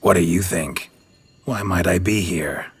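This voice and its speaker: A man playing a gruff, world-weary monster hunter in a video game, gravelly, terse and deadpan. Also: deep voice, unhurried, full character voice. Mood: dry, matter-of-fact. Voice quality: gravelly, husky. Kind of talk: video game character acting.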